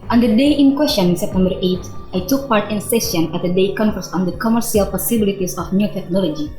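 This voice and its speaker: A woman's voice: medium pitch, darkish tone, natural voice. A woman playing a firm, curt vice president in a radio drama. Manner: curt, formal. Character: firm, guarded female vice president making a complaint. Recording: echoey room with music.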